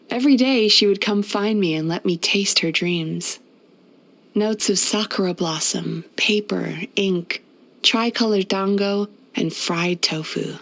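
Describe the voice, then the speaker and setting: A woman voicing a gentle, wistful, centuries-old yokai healer in a video game, speaking softly in a measured, polite, reflective way. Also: mid-range voice. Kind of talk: scripted video game character voice-over.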